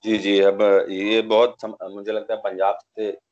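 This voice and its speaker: A man's voice: fairly low pitch, flat delivery, unhurried, darkish tone. A young man hosting a cricket discussion in a conversational way, hesitating and searching for words, over a video call. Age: young adult. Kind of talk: conversational sports commentary. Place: indoors, small room, video call. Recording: dull lo-fi video call audio.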